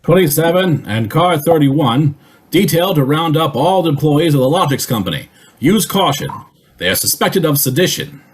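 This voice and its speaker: Man acting a husky, officious police dispatcher. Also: on the high side, lively intonation, normal speed. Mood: urgent, official. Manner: clipped official announcing, repeating phrases. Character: urgent, officious male police radio dispatcher. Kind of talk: radio play acting.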